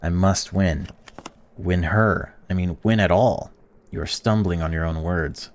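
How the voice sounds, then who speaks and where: A man hosting a gaming channel, reading a visual novel's text aloud while playing and breaking off into excited or indignant reactions. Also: low-pitched, measured pace, a little hiss or hum. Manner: reads game text flatly, then blurts excited or indignant reactions.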